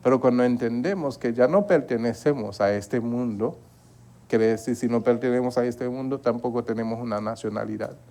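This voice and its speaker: Gravelly preacher. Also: male voice, medium pitch, steady tone, fairly quick, mellow. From Haiti. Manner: earnest, repeats words, asks the congregation to agree. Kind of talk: Bible study sermon.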